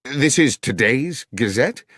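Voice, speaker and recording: A man with a British accent voicing a loyal, worried Victorian gentleman doctor in an audiobook, polite and earnest. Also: medium pitch, expressive, takes it slowly, breathy edge, no echo, clean studio sound, pitched above the performer's own voice, full character voice. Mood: surprised.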